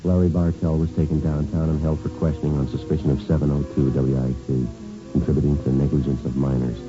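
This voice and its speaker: An actor playing a stoic American police detective, narrating his case in a clipped, deadpan, matter-of-fact radio drama style. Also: rumbling low, quick pace. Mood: firm, probing. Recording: dull vintage radio recording, music behind.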